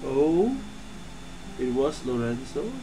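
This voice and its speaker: A man's voice: mid-range voice, big pitch swings, slow, noisy background. A man giving casual gameplay commentary, musing hesitantly and repeating words as he reacts. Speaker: streamer playing a video game.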